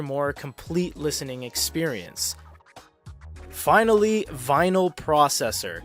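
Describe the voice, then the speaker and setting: Man explaining tech in a wry, scripted tone. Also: fairly high pitch. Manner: explanatory with wry casual asides. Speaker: tech reviewer. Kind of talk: scripted product review narration.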